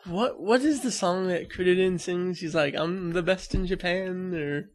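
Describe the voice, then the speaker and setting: A man's voice: high-pitched, a little slow. A young man hosting a podcast, chatting casually with a joking, playful manner. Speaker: podcast host. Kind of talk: casual chat.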